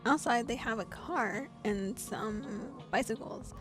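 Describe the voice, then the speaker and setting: A young adult woman streaming, narrating a visual novel aloud and breaking into excited, laughing reactions. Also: fairly high pitch, takes it slowly, music in the background. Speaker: VTuber streamer.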